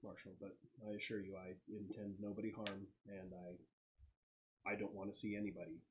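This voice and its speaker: A man voicing a serene, courteous synthetic android with polite, formal, measured speech. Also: lowish voice, flat delivery, muffled recording, background noise, voice pushed down, close to an everyday voice. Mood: calm, reassuring.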